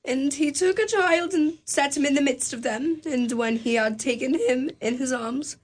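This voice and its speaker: A woman's voice: high-pitched, moderate pace, lightly acted. A woman playing an earnest young family member. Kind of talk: radio drama acting.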